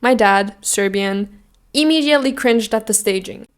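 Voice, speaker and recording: A woman's voice: mid-range voice, normal speed. A young woman from Austria giving casual, opinionated commentary as a video host, emphatic and jokey, swearing freely. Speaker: video host giving song contest predictions.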